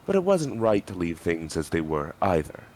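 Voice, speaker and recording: A man's voice: deep voice, wildly swooping pitch, normal speed, darkish tone. A man reading scripted story narration in a dramatic style. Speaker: reader in a group dramatic reading.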